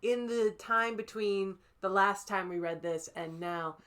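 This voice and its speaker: A woman's voice: mid-range voice, unhurried, a bit bright, smooth. A young woman chatting casually as a livestream guest, playful and teasing in manner.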